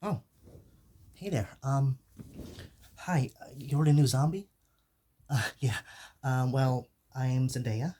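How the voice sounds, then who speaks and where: A man playing a shy, awkward zombie in a soft-spoken ASMR roleplay, hesitant and mumbling. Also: mid-range voice, slow pace, pauses often. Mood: shy, puzzled.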